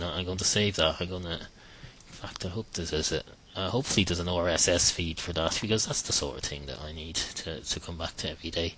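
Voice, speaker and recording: A man's voice: low-pitched, bright, forceful tone, a little hiss or hum, low-quality recording. A man hosting a podcast, talking through a rambling comic monologue with a sarcastic tone, many ums and restarts, and the odd swear word. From Ireland. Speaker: podcast host.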